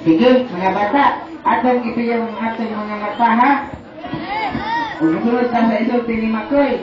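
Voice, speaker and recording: A woman's voice: a little high, moderate pace, lightly acted. A woman with a Visayan Filipino accent voicing an eager puppet companion who coaxes children along in a religious puppet show. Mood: coaxing. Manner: coaxing, often adds questioning tags. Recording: distant, very echoey, muffled, noisy crowd.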